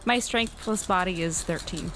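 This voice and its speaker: A woman's voice: on the low side, normal speed, a bit bright, a little hiss or hum, poor audio. A woman playing a tabletop game, chatting and roleplaying casually.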